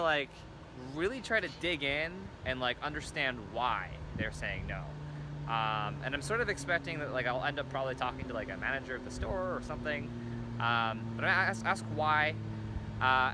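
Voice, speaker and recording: Male vlogger, mid-pitched, halting and informal, thinking aloud over noticeable outdoor traffic noise. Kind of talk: casual spoken vlog, informal talk. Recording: noisy outdoor ambience with traffic.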